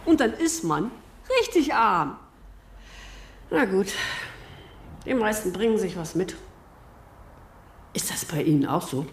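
A chatty indignant office worker. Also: female voice, medium pitch, very animated, takes it slowly, pauses often.

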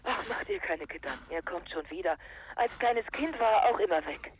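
A woman's voice: lowish voice, lively intonation, moderate pace, lightly acted. Actress as blunt woman on a noisy call. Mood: grim, controlled. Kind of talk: radio drama acting.

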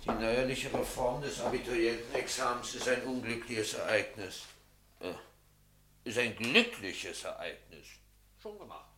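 An actor playing an eager, obliging young reporter in a radio play. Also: male voice, mid-range voice, expressive, a little slow, bright, forceful tone, slight background noise. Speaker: junior journalist. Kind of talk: 1950s radio play acting.

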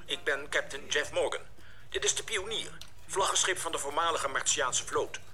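An actor playing a calm, courteous spaceship captain in a radio play. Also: male voice, on the high side, normal speed. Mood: composed, formal.

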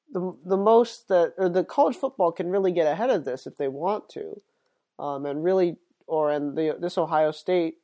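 A man's voice: fairly high pitch, warm tone. A man hosting his own sports podcast while sick and sniffly, talking casually and rambling with plenty of fillers and restarts. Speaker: podcast host.

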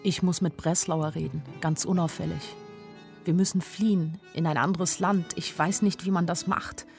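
A woman's voice: fairly low pitch, fairly even intonation, moderate pace, a little mellow, faint music behind, natural voice. An actress playing an anxious young woman who narrates a radio play as an intimate inner monologue in short, plain sentences. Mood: tense. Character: anxious young woman narrating her flight, inwardly urgent. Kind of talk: literary radio play narration in present tense.

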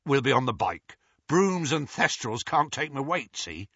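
A giant of a man, gentle and homely, talking in rustic, folksy speech, played by a male reader. The voice swings lively and forceful with a husky edge, recorded dry. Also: medium pitch, a little slow, no room sound, highs cut off, pitched above the performer's own voice, exaggerated voice. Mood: eager homely.

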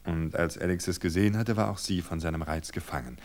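A man's voice: low voice, fairly quick, darkish tone. A man reading a literary story as a radio narrator, in scripted narration.

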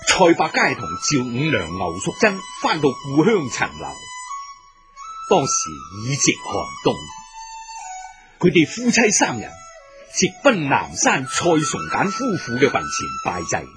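A male Cantonese narrator tells the story slowly, with a hugely varied, animated pitch. The style is solemn and literary, with music clearly behind.

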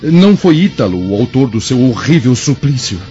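A man portrays a young Roman officer in a Brazilian radio drama. The voice is mid-pitched, formal and earnest, loyal and concerned. Music runs under the slightly muffled audio. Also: very animated, normal speed, close to an everyday voice. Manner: formal, earnest, theatrical delivery.

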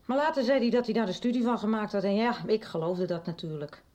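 Actress doing a guarded middle-aged mother, halting and hesitant, mellow warm tone, radio drama. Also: medium pitch, lightly acted. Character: worried mother, guarded and confiding.

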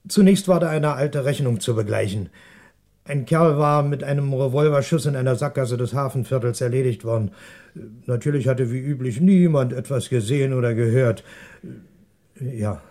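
A man's voice: medium pitch, moderate pace, warm tone, close to an everyday voice. A male actor playing a cornered, apologetic ex-policeman and shopkeeper, speaking hesitantly and defensively in a 1960s German radio crime drama. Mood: halting confession.

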